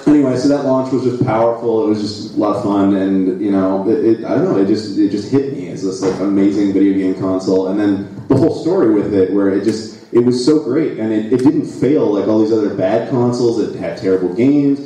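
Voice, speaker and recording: A man's voice: fairly low pitch, fairly quick, hardly any pauses, mellow, a little hiss or hum. A man from the United States chatting casually and jokily as a guest on a live panel, rambling with restarts. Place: live expo stage, large hall. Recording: echoey hall, distant mic.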